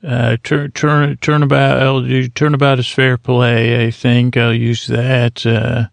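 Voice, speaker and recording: A middle-aged man hosting a sleep podcast, with a creaky voice and a slow, meandering, soothing way of talking full of restarts. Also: mid-range voice, flat delivery, no echo. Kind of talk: rambling sleepy monologue.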